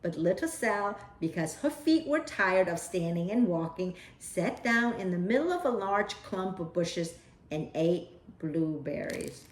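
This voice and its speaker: Slow, expressive woman reading a picture book. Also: fairly low pitch. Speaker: storyteller. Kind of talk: children's picture-book read-aloud. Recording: roomy echo.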